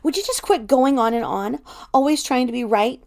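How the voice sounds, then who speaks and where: A woman voicing a short-tempered, defensive adult in a storybook, forceful and accusing. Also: mid-range voice, animated, moderate pace, creaky. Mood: furious, exasperated.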